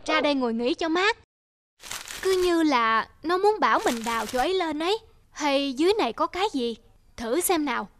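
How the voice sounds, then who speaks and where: A woman's voice: high-pitched, animated, moderate pace, a little hiss or hum. A woman voicing a poor, kindly old farmer who talks fondly to his dog in a cartoon. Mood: curious. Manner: gentle, fond toward his dog. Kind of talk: cartoon voice acting. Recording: music playing underneath.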